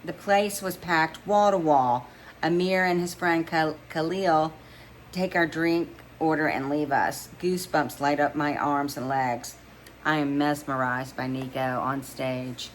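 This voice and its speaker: A woman with a low-pitched voice reads a romance novel aloud in the first person. She is an amateur storyteller who sometimes trips on words and goes back over a phrase. There is some faint noise in the recording. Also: fairly even intonation, a little slow, rough recording. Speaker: narrator reading a novel aloud.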